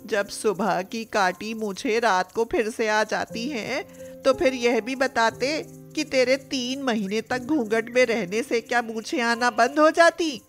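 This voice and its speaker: Cartoon mother-in-law, played by a woman narrator: middle-aged, suspicious, indignant, scolds and exclaims at an unhurried pace. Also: a little high, soft background music, natural voice.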